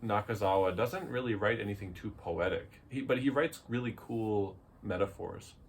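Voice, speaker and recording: Casual talker: man, low voice, hesitant and laid-back, faintly noisy recording. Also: normal speed. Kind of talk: casual chat.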